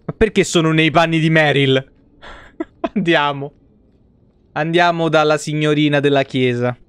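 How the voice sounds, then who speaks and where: A man's voice: on the high side, measured pace, clean tone, dry recording. Dry-sounding, foul-mouthed Italian man. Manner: informal, heavily profane. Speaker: game streamer. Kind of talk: casual live gameplay commentary with asides to chat.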